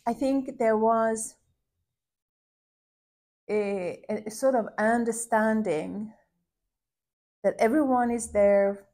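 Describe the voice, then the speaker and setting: Woman, soft thoughtful storytelling, lots of restarts and pauses, mid-pitched. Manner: hesitant, searching for words, many restarts; soft and contemplative, rising to warm emphasis. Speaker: podcast host recounting a visit. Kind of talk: reflective personal storytelling.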